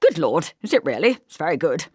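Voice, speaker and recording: A woman's voice: sits low, very animated, fairly quick, a little mellow, slightly rough, no echo, clean studio sound, full character voice. A woman voicing a distracted, amiable middle-aged man in an audiobook, rambling mildly and agreeably. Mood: appreciative. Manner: rambling, mild, preoccupied.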